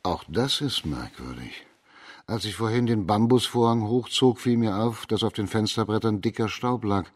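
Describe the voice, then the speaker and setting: Magistrate for radio crime drama: low-pitched, authoritative, shrewd, deliberate in questioning. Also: male voice, animated. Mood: pondering. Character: authoritative, shrewd imperial Chinese magistrate, investigating a murder.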